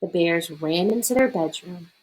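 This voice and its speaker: A woman reading a children's picture book aloud as a storyteller, expressive and deliberate. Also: lowish voice, breathy edge, low-quality recording.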